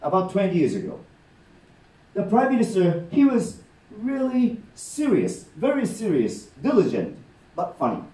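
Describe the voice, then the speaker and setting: Animated Japanese-accented man telling stories. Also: high-pitched, a little slow. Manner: animated, warm explaining with gestures and frequent "okay?" checks. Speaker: rakugo storyteller. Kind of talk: live comic storytelling to an audience. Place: meeting room, indoors. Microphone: far camera in the audience. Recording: roomy sound from a distant camera.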